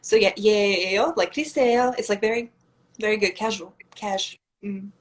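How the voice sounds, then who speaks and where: A woman's voice: medium pitch, fast. Woman, young adult, casual chat, talkative, lots of fillers. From Russia. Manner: chatty, leans on "like" a lot, laughs often. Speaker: guest on a live stream.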